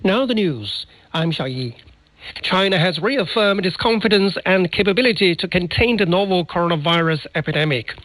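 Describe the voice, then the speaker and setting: A man's voice: high voice, lively intonation, moderate pace, fairly bright tone, no echo. A man reading scripted news as a radio anchor, heard over a shortwave broadcast.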